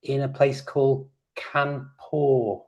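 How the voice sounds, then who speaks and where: Brief: 60s Liverpool man, mid pitch, patient explainer, video call chat. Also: flat delivery, slow pace, a little mellow, dull audio. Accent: Liverpool English. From England. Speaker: guest, English teacher YouTuber. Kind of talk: friendly online conversation.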